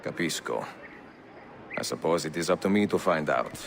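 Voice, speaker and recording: An actor playing a courteous, composed, mature Italian master assassin in a video game, with an Italian accent and a measured, dry manner. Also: male voice, very deep voice, animated, creaky, a little hiss or hum, heavily acted. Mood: measured, curious. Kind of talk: video game cutscene acting.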